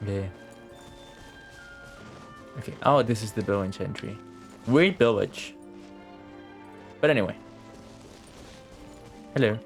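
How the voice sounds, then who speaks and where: Male game streamer, lowish voice, casual and rambling, reacting aloud with game music underneath. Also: takes it slowly, vocal fry, pauses often. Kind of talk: casual live game commentary.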